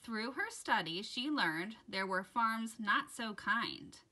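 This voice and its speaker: A woman host reading aloud to children in an expressive, deliberate storytelling style. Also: on the high side. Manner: dramatic, deliberate storytelling delivery addressed to children. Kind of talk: expressive read-aloud of a rhyming children's picture book.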